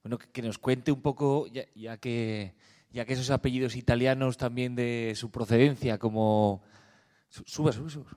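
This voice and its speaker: A man moderating a public event puts questions to the speaker. His voice is mellow and warm, at a middle pitch. He halts often and repeats himself. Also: fairly even intonation. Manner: halting, repeats and restarts his words.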